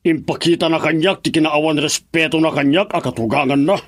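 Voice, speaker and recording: A man playing a proud, vengeful older father in a radio drama, with a rough, husky voice. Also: on the high side, fairly quick, no room sound, clean studio sound, natural voice. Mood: bitter, threatening.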